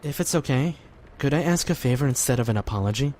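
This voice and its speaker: A man's voice: medium pitch, lively intonation, quick pace, a little mellow, lightly acted. A man acting as an earnest, devout young man in a fan audio drama, speaking politely and sincerely, a little hesitant. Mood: politely firm. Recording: clearly noisy background ambience.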